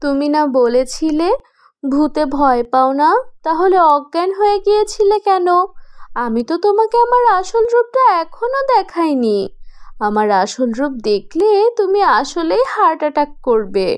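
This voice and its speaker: A woman's voice: sits high, lively intonation, normal speed. A woman voicing a teasing, bossy but kindly young female ghost in a horror cartoon, given to mocking cackles. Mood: teasing threat. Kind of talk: animated horror cartoon voice acting.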